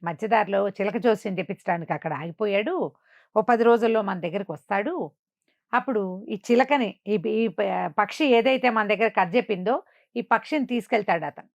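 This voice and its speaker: Female storyteller, conversational, chatty, explanatory, medium pitch, close dry sound, slightly dull recording. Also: moderate pace, creaky, no echo. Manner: chatty, explanatory, checks in with listener.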